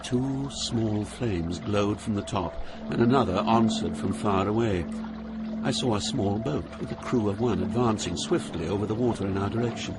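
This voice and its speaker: A man playing an awed, solemn poet recounting a journey through hell, deliberate, with dramatic pauses. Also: on the low side, over loud music, lightly acted. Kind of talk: dramatized scripted narration.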